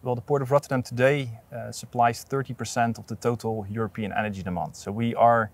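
A man in his thirties speaking in an outdoor interview, earnest and measured, with frequent restarts, on a lapel mic. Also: mid-range voice, fairly even intonation. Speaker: port authority representative. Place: outdoors at an industrial port site.

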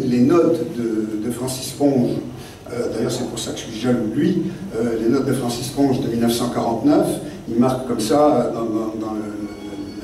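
A man's voice: mid-range voice, warm tone, slight background noise. Older man, echoey room. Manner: digressive, halting, many fillers and restarts. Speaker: guest author. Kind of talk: rambling spoken memoir talk. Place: literary café meeting room. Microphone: desk mic on stand, seated. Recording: very echoey room, mic at a distance.

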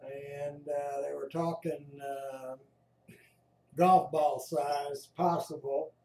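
A man with an American accent talks slowly in a fairly high voice, giving casual live commentary. His delivery is halting and unhurried, with a mellow tone, recorded in a roomy space. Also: level tone, vocal fry, a little hiss or hum. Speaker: amateur storm-watcher narrating a screen recording. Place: indoors at home by a computer. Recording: roomy echo.

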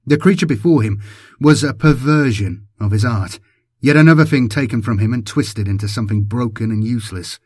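A man's voice: mid-range voice, a little fast, darkish tone, slightly rough, dry recording, clean studio sound. A man giving a dramatic scripted narration of an audiobook as its narrator.

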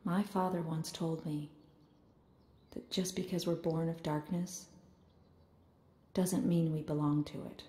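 An actress narrating as a calm, reflective young woman born of ink. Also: low voice, a little slow, pauses often, reverberant. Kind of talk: scripted narration.

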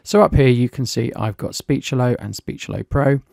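British man, gravelly, dry close mic, walk-through tutorial read. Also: mid-range voice, brisk, a little mellow, dry recording. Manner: plain, explanatory, lightly chatty. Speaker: software reviewer. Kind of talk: screen-recorded software tutorial.

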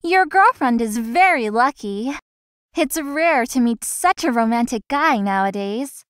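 Polite young flower shop worker, high voice, dry studio sound, visual novel game. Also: female voice, animated, unhurried, clear, resonant tone, no echo. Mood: polite, cheerful. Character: polite, hard-working young female florist.

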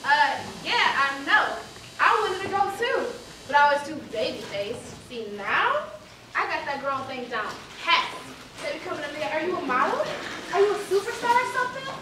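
An actress playing a playful, vain young best friend in a stage play, with slangy, teasing delivery. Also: female voice, sits high, sing-song, moderate pace, heavily acted. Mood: boastful, playful. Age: young adult. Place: theater stage, filmed from far camera. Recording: very echoey, loud noise, distant stage recording.

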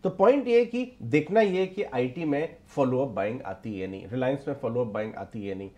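A man's voice: medium pitch, animated, normal speed, dark tone. A middle-aged man anchoring live market commentary from a TV studio on a lapel mic, explaining and repeating key points for emphasis. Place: TV news studio.

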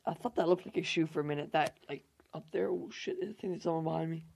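A young man hosting a gaming channel, hoarse from losing his voice, rambling through casual gameplay commentary with fillers and swearing. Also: a little high, fairly even intonation, fast, darkish tone.

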